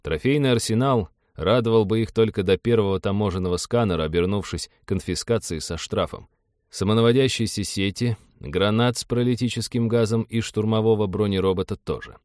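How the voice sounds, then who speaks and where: Man playing a stern, low-voiced spaceship captain. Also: brisk, darkish tone, no echo, clean studio sound, close to an everyday voice. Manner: curt, hard.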